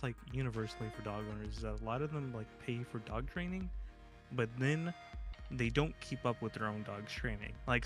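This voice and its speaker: Man with a low voice, chatting loosely. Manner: rambling, many "like" fillers. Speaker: guest. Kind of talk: casual chat. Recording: music playing behind.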